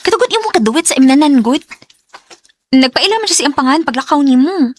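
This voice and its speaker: A woman's voice: high voice, expressive, fast, slight background noise. A woman acting a chatty, teasing young office friend with a Cebuano accent in a radio drama. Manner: playful, gossipy, nudging.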